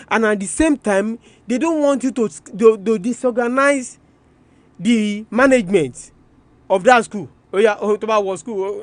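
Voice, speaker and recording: A man's voice: high-pitched, no room sound. A man with a Nigerian Yoruba accent giving an educational radio talk, explaining didactically, repeating key terms and asking rhetorical questions as he switches between two languages. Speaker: radio presenter.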